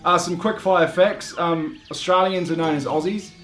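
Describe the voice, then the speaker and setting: A man with a New Zealand accent giving a deadpan comic monologue, halting and full of "um"s. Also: high voice, faint music behind. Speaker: comedic video host.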